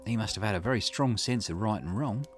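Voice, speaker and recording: Man playing a shrewd, animated amateur sleuth. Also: lowish voice, fast, over music, natural voice. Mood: confidently explaining. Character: shrewd, self-assured amateur sleuth reasoning out a crime.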